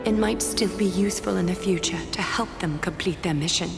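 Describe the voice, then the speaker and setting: A woman's voice: fairly low pitch, brisk, gravelly, music in the background. A woman voicing a serene, regal demigod leader in a video game, formal and composed, in an echoing space. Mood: calm, resolute. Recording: echoey reverberant hall effect.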